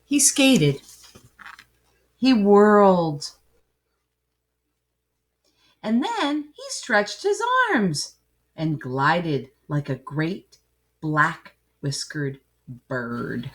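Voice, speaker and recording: A woman reading a children's story aloud with dramatic, emphatic phrasing and pauses. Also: on the low side, sing-song. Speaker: storybook reader. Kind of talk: expressive children's story narration, with asides to listeners.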